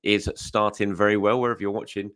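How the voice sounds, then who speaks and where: A hoarse young man hosts a football news show, with a deep voice that sounds croaky. He talks through the news in a loose, chatty way, with plenty of fillers and restarts. The sound is close and dry, with no room behind it. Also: little pitch movement, quick pace, fairly bright tone, no echo. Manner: chatty, with frequent fillers and self-corrections. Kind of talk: casual solo commentary.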